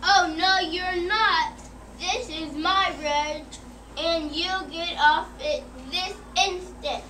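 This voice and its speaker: A child performer playing a mean, rude old troll in a school readers theater, reading in a halting way. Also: extremely high pitch, fairly even intonation, sharp tone, full character voice. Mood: demanding, threatening. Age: child, about eight or nine. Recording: echoey, noisy room, far from mic.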